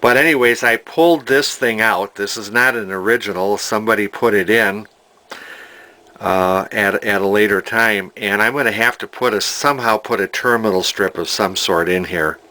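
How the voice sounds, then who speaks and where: A man giving an informal hands-on repair demonstration in a halting way, thinking aloud as he explains. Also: fairly low pitch, pushed and bright. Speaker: hobbyist radio repairer, presenter. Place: indoor workshop at a workbench.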